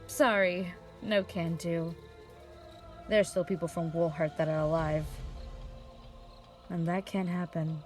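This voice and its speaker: A slow female voice over music, a woman acting as a young wind mage with blood on her hands. She is calm and wistful, resigned yet unrepentant, with quiet menace in a fantasy audio drama. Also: on the low side.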